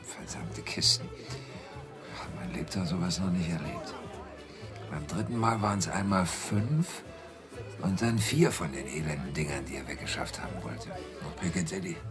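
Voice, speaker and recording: An actor playing a rough, sly working-class London carter who rambles colloquially and deferentially in a radio drama. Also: male voice, deep voice, lively intonation, normal speed, over music. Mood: grumbling. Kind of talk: German radio drama acting.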